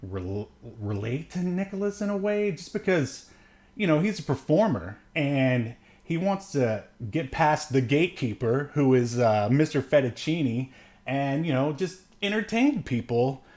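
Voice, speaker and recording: Man musing in a halting ramble. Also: medium pitch, big pitch swings, a little mellow. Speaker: podcast co-host reviewing films. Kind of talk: casual film-review chat.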